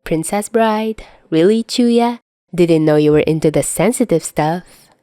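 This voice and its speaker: A woman's voice: fairly low pitch, a little slow, warm tone, no echo, clean studio sound, lightly acted. A woman voicing a smug, fussy teenage boy who teases and condescends in an audio story. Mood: teasing. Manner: teasing, condescending.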